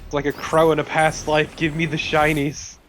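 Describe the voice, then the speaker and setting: A man's voice: a little high, completely flat delivery, moderate pace, a bit bright. A man co-commentating on a video game in a casual, wry, joking way. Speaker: let's play co-commentator. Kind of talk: casual gameplay commentary chat. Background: video game music.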